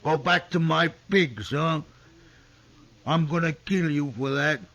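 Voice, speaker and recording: An actor playing a belligerent drunk Old West farmer in a radio western, talking in a slow, boozy, needling drawl. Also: male voice, fairly high pitch, fairly bright tone, highs cut off, exaggerated voice. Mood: drunken menace.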